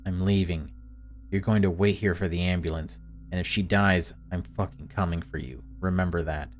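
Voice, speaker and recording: A man's voice: low-pitched, steady tone, moderate pace, faint music behind, close to an everyday voice. A man voicing a threatening, panicked gunman in a horror visual novel, terse and swearing. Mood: cold threat.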